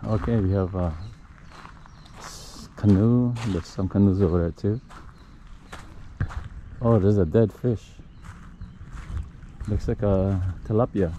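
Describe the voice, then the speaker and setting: A young man casually narrating a walking vlog outdoors by a calm bay, musing aloud as he goes, recorded on an action camera. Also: fairly low pitch, slow pace, pauses often. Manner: relaxed, musing aloud, frequent "oh" and "all right". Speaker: vlogger. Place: outdoors on a calm bayside beach park. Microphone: action camera held or mounted while walking. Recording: outdoor camera audio with clear nature noise. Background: birds and outdoor ambience.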